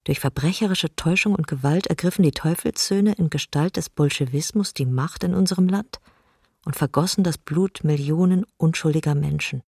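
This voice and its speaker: A man's voice: a little high, normal speed, creaky, a little mellow, husky, no room sound, studio quality. An actor playing a male Orthodox archbishop solemnly reading out an exhortatory wartime appeal in a documentary radio drama. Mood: solemn exhortation.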